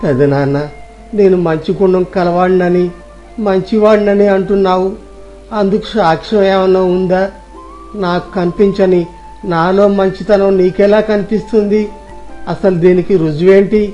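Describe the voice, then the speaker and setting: A gloomy young village nephew, acted by a man, plaintive and full of self-doubt. The voice is very high for a male and darkly mellow. The story recording is dull, with faint music behind. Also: fairly even intonation, normal speed, lightly acted. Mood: doubtful. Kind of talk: Telugu moral story audio drama.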